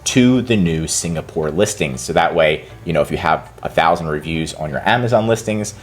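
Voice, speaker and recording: A man's voice: rumbling low, animated, moderate pace. A man hosting a tutorial and giving casual step-by-step instructions as a consultant. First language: English. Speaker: consultant and channel host. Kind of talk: screen-recorded tutorial walkthrough.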